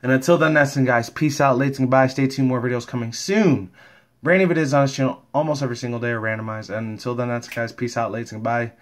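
A man's voice: medium pitch, fairly even intonation, fast talker. A man hosting a casual fan vlog, rambling along in slangy commentary. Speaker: YouTube host and fan comic dubber.

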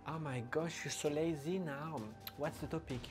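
A man's voice: fairly high pitch, steady tone, normal speed, voice pushed down, natural voice. A sassy blonde woman played by a man in a vlog skit. She sounds sarcastic, unimpressed and easily exasperated, with music running behind the voice. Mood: weary.

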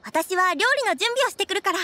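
A woman's voice: very high voice, animated, fast, sharp tone, no room sound, full character voice. A woman playing a friendly restaurant owner in an anime game, speaking in a familiar, confiding way. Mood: welcoming. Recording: music playing behind the voice.

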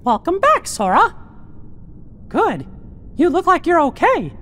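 Squeaky, kindly cartoon mouse. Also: male voice, wildly swooping pitch, takes it slowly, slight background noise, exaggerated voice. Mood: cheerful. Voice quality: squeaky falsetto. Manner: gentle, upbeat. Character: small, kindly, chipper cartoon mouse leader. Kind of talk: video game cartoon acting. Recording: game music underneath.

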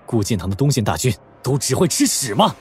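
An actor playing a refined but indignant young general in a Chinese martial-arts audio drama, with a husky voice. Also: male voice, mid-range voice, very animated, brisk, soft background music, natural voice. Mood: indignant cursing.

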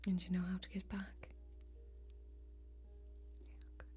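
A young woman playing a kindly, protective fantasy warrior in a soft-spoken ASMR role-play, gentle, whispery, folksy and reassuring, close to the microphone. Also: on the low side, completely flat delivery, a little slow, faint music behind, lightly acted. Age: young adult.

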